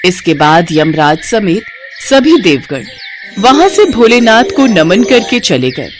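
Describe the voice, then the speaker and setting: Narrator for mythological tales, scripted read, highly varied pitch, music underneath. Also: big pitch swings, takes it slowly. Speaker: narrator of devotional myth stories.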